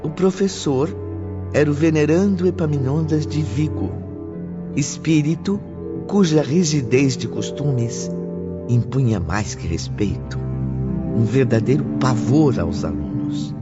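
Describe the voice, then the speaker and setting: A man reading scripted audiobook narration in the third person, deliberate, solemn and formal. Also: medium pitch. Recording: dull lo-fi with music bed. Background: faint background music.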